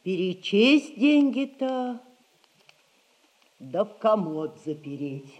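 A woman's voice: medium pitch, very animated, slow, a little mellow, highs cut off. An actress playing a poor, honest, plain-spoken middle-aged widowed mother in a theatrical audio comedy. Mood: firm, homely. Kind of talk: classic Russian stage comedy acting, audio play.

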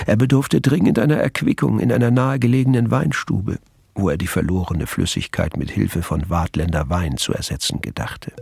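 A wry storyteller narrating a radio play. His voice is gravelly with plenty of creak and sits fairly low, delivering scripted prose with irony. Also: darkish tone, dry recording, clean studio sound, full character voice. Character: wry, ironic male literary storyteller.

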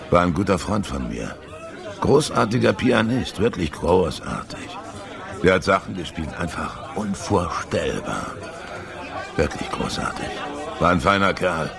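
An actor playing a boozy, wistful male barfly in a German radio drama, rambling and musing in a gravelly voice. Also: deep voice, full character voice. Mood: friendly, wistful. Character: boozy, wistful male barfly, slyly sociable jazz fan. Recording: bar crowd murmur behind.

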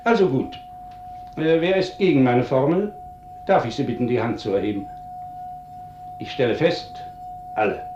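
Actor as conscientious professor, animated and roomy. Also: male voice, medium pitch, dull audio, background noise, natural voice. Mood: grave, persuasive. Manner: formal, measured, rhetorical. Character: conscientious, hesitant physics professor. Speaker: actor in a radio play. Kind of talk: 1950s German radio drama acting. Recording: roomy, echoing 1950s radio production.

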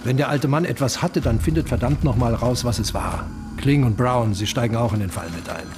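An actor playing an impatient police squad boss handing out orders forcefully in a radio crime drama. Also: male voice, mid-range voice, a little fast, background noise, lightly acted. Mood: commanding.

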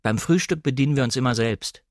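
A decisive master of an English estate, as read by a male narrator, speaks in a brisk and commanding way. The audiobook dialogue is matter-of-fact, in a medium male pitch with a very dry sound. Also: no echo, close to an everyday voice. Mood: brisk, dismissive.

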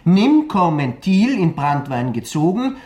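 An actor playing a serious, resolute young chemistry student in a radio play, earnest and measured. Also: male voice, on the high side, big pitch swings, a little mellow, highs cut off. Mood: solemn, reading. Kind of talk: German radio play acting. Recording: roomy echoing studio radio drama.

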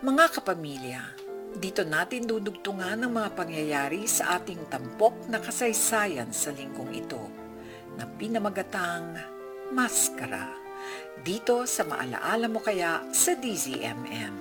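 A woman's voice: on the low side, big pitch swings, unhurried. A Filipino woman hosting and narrating a scripted drama. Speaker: host and narrator. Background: music.

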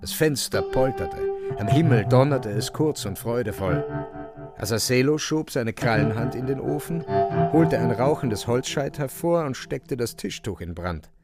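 A man's voice: mid-range voice, expressive, measured pace, loud music behind the voice. A man giving solemn, theatrical literary narration for a radio play.

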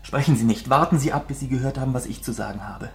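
An actor in a German radio drama playing an earnest, adoring painter who is troubled and wistful and speaks in formal, confessional phrases. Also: male voice, medium pitch, fast, natural voice. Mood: grave, urgent.

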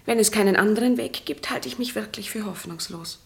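An actress playing an earnest, troubled young woman in a German radio play, resolute and at times hushed. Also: medium pitch, brisk, gravelly, close to an everyday voice. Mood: despairing, confessional.

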